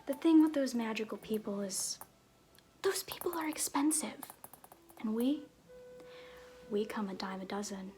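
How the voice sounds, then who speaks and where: A woman's voice: a little high, animated, mellow. Woman playing a sly circus fool, noisy ASMR. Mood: sly, conspiratorial. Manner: theatrical, sly, conversational with hesitant pauses. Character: flirtatious, roguish circus fool showing a newcomer around. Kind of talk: ASMR roleplay, soft-spoken with whispers.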